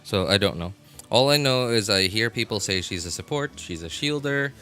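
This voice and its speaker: A man chatting casually on a game livestream, often halting and rephrasing as he answers viewers. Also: fairly low pitch, expressive, sharp tone. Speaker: live streamer. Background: music.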